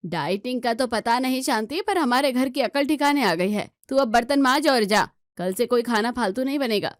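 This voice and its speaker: Woman acting a fretful young housewife, pitched up. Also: normal speed, dry recording, studio quality, lightly acted. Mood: firm, scolding. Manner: fretful, emphatic. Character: anxious, complaining young Indian daughter-in-law and housewife. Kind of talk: acted Hindi family story dialogue.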